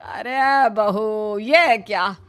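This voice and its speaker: A woman plays a middle-aged cartoon mother-in-law. She is motherly and affectionate but easily exasperated, fretting in a high voice over soft background music. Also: very slow. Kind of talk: animated moral story acting.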